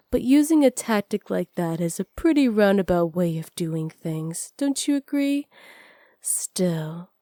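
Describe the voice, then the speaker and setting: Woman voicing a slow, sultry villainess witch. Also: mid-range voice, warm tone, no room sound, studio quality, natural voice. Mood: amused, teasing. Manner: slow, breathy, often whispered, flirtatious purr. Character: seductive, teasing villainess witch, sly and possessive. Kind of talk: ASMR roleplay, intimate address to the listener. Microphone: close studio microphone.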